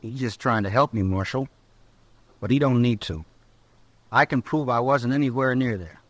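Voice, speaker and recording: A man's voice: medium pitch, normal speed, no room sound, close to an everyday voice. Folksy frontier townsman with a Western drawl man acting. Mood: defensive. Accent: rural American West drawl. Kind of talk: old-time radio western acting.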